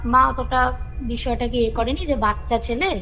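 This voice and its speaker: A woman's voice: on the high side, steady tone, moderate pace, sharp tone, slight background noise. A woman casually telling a story as a caller, in a conversational way, circling back to repeat phrases. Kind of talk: casual spoken storytelling of a family ghost story. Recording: reverberant call recording with music behind. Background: background music.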